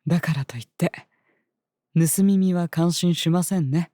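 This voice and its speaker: Woman acting a low, composed fantasy attendant. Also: warm tone, no echo, studio quality, lightly acted. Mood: gently chiding. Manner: polite, measured, formal speech. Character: composed, gently admonishing devoted attendant of a young princess. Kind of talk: scripted audio drama acting.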